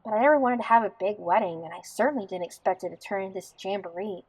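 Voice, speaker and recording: A woman plays a young bride-to-be in an audio drama with a mid-pitched voice. She is blunt and practical, grumbling wryly in an informal, no-nonsense way. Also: fast, a little mellow, slight background noise, close to an everyday voice, rough recording. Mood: exasperated, wry.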